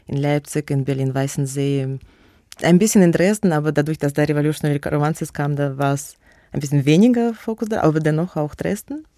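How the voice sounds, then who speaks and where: A woman's voice: sits low, fairly quick, dark tone, dry recording, clean studio sound. A woman with a Russian accent, a native Russian speaker, answering radio interview questions about her museum work in a hesitant way, with many fillers and restarts. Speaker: museum curator and guest.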